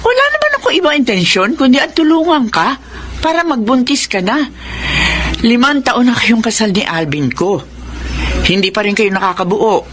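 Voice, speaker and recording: A woman's voice: a little high, very animated, moderate pace, fairly bright tone. An older mother-in-law in a Filipino radio drama, pointed and fussy. Her voice swings widely as she insists and meddles. Faint noise sits under the recording. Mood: insistent, defensive. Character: nagging older mother-in-law pressing for a grandchild.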